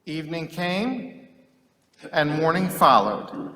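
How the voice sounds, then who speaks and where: A man reading scripture solemnly and dramatically, with deliberate, declamatory phrasing and long pauses. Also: a little high, very animated, sharp tone. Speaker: scripture reader. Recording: echoey hall with long reverb.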